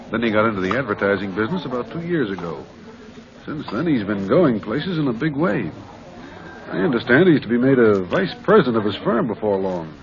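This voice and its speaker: An actor playing a gossipy businessman dining out, casual and knowing, in an old-time radio drama. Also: medium pitch. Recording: dull vintage radio recording, restaurant crowd.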